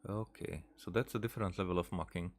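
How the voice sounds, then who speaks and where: Male streamer, low register, casual let's-play commentary, halting, repeats himself while thinking aloud. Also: steady tone. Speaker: gaming streamer. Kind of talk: casual game playthrough commentary.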